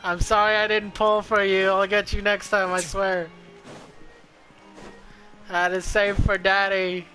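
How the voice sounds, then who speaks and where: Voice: medium pitch, monotone, unhurried, pauses often, bright, forceful tone, soft background music, low-quality recording. A streamer giving casual, crude and irreverent game commentary, sometimes reading the dialogue aloud.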